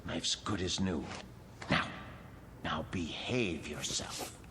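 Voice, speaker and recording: An actor playing a secretive, disturbed male caretaker of wax figures in a radio drama, halting and evasive. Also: deep voice, background noise. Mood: gently scolding. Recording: music under the voice.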